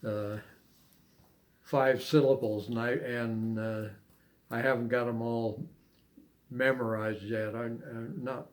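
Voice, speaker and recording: An older man, an amateur poet, speaks in an unhurried, halting way as he reads verse and reminisces. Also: mid-range voice, steady tone. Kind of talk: poetry reading and casual reminiscing.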